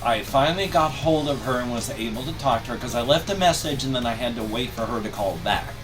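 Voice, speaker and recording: A man's voice: medium pitch, lively intonation, fast. An older man talking in a casual personal vlog, rambling and stressing his words emphatically. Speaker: video creator. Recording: distant mic, music behind. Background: music.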